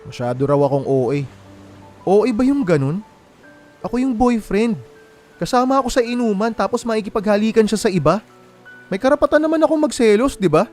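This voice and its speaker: A man's voice: high voice, animated, a little fast, long pauses, darkish tone. A heartbroken young Filipino man, music behind. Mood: hurt, indignant. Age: young adult. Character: heartbroken young man, betrayed and wronged boyfriend. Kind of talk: Filipino radio drama acting.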